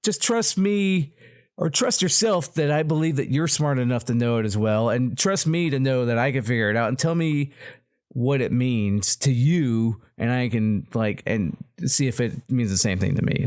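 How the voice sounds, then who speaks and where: Forties dude on a podcast, mid voice, swears, keeps saying yeah and right. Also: male voice, mid-range voice, animated, moderate pace, a little mellow. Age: 40s. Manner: emphatic, swearing, frequent agreeing. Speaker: podcast co-host. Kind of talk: casual chat.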